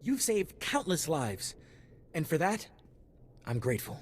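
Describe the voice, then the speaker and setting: An actor playing an anxious, harried Republic official in a video game, earnest and full of worried complaint. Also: male voice, fairly high pitch, sing-song, measured pace, a little hiss or hum. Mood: relieved, grateful. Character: frazzled, self-pitying male Republic official, anxious and pleading. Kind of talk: video game character acting.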